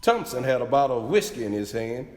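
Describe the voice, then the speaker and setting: A man playing a young former slave giving eyewitness testimony in an animated, earnest dramatic reading. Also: mid-range voice, fast, close to an everyday voice. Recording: echoey hall with long reverb.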